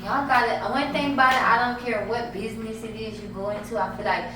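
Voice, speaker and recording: A woman of about thirty hosts a relaxed conversation, sitting a little away from the mic. Her voice sits slightly high. The room is echoey and noisy. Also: fast talker, far from the mic. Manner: encouraging, affirming with frequent "right". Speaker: podcast host. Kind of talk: casual chat.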